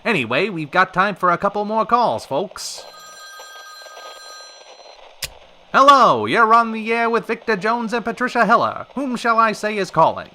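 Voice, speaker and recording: A man's voice: high voice, big pitch swings, normal speed, few pauses, pushed and bright, slight background noise, heavily acted. A man playing a wry, chivalrous radio talk show host in a polished, theatrical old-time style.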